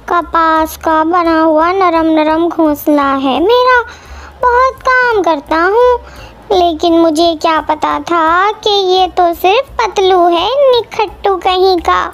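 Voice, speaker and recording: A woman's voice: very high voice, heavily acted. A woman voicing a lazy, scheming crow wife who nags and taunts in a children's cartoon. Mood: scolding. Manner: bossy, taunting. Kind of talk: Hindi children's cartoon acting.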